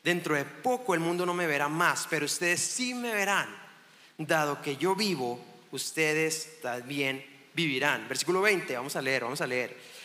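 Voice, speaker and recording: A man's voice: on the high side, expressive, moderate pace, sharp tone. Mexican man preaching in an echoey hall. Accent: northern Mexican. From Chihuahua, Mexico. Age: 30s. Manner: chatty and self-joking, with many restarts. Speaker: guest preacher. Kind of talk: sermon. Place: church hall. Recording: echoey hall with long reverb.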